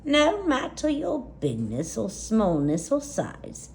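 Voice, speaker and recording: A kindly old grandmother yak from a picture book, acted out by a woman. She speaks high and slowly, stretching words with doting emphasis. She comes across as wise and reassuring. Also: wildly swooping pitch, darkish tone, a little hiss or hum, full character voice.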